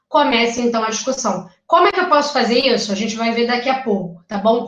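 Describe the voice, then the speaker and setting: A woman's voice: fairly high pitch, a bit bright, echoey room, distant mic, dull audio. A woman from Rio de Janeiro with a Carioca accent, giving a chatty live exam-prep lecture and often checking in with her listeners. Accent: Carioca Brazilian Portuguese. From Rio de Janeiro, Brazil. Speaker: teacher.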